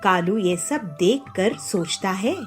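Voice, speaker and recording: A woman narrating a children's cartoon story in a calm, even manner. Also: medium pitch, takes it slowly, faint music behind.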